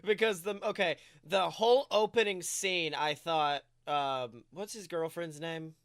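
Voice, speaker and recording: A man with a high, bright and clear voice co-hosts a comedic podcast. He talks casually, tells stories in an animated style and swears without restraint. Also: a little slow, no room sound, clean studio sound. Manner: animated storytelling, acts out quotes, swears freely. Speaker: podcast co-host.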